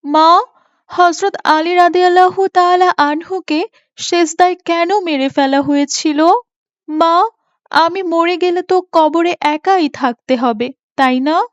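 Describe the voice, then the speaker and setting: A woman's voice: very high voice, takes it slowly, dry recording, voice pushed up. A woman voicing an innocent, earnest little girl in a cartoon, pious and insistent in a childlike way. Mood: curious. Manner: childlike, insistent, asking questions of her mother. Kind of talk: Islamic moral cartoon voice acting. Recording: muffled, with music behind.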